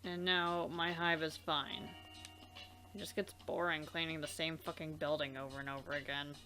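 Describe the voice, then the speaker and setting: A woman's voice: low-pitched, level tone, moderate pace, sharp tone, faint music behind, rough recording. A woman voicing a blunt, dismissive young female character from a game, aloof and sweary. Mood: bored, aloof.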